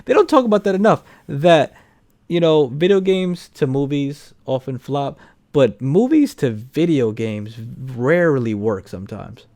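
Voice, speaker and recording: A man's voice: medium pitch, animated, unhurried, a little mellow. A man co-hosting a casual film review chat, blunt and free with swearing. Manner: blunt, profane. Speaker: podcast co-host reviewing films.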